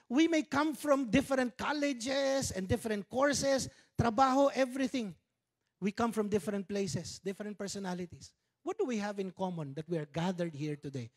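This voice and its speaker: A Filipino man in his fifties preaching a sermon on a church stage, conversational, with joking Tagalog asides, speaking into a handheld mic. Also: high voice. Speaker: pastor. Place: church stage with dark curtains.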